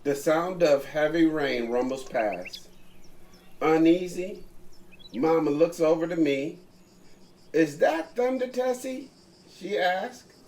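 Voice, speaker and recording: A man reading a children's picture book aloud, taking turns with a co-reader, slowly and expressively. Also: a little high, a little mellow. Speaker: co-reader of the story. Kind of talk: bedtime story reading.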